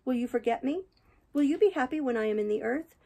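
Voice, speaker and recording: A woman's voice: expressive, fast, creaky, warm tone, full character voice. A woman voicing a bitter, dying young heroine. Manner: high, imploring, bitter.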